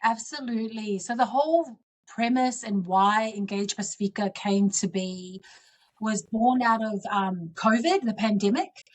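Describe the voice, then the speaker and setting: A woman's voice: mid-range voice, measured pace, poor audio. A woman in her forties, originally from Samoa, answering interview questions earnestly and reflectively as a guest politician.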